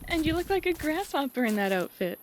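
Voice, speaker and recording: A woman acts a young ranch girl in a fantasy radio play. Her high voice bounces up and down as she chats in a folksy, teasing, warm-hearted way. There is faint noise behind her. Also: sing-song, a little fast. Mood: teasing, friendly. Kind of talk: fantasy audio drama acting.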